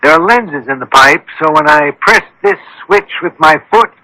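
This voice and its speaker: A man's voice: fairly high pitch, lively intonation, normal speed, sharp tone, no room sound, poor audio. An actor playing a brilliant, methodical scientist detective in a radio mystery, precise and commanding as he explains. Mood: explaining, assured. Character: brilliant, methodical male scientist detective called the thinking machine. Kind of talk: radio mystery drama acting.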